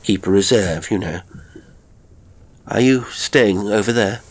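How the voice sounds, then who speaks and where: Male narrator as reclusive storybook hermit: husky, clipped, awkwardly polite. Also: on the low side, a little slow. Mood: nervous, polite. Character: nervous, reclusive male island hermit making stiff small talk.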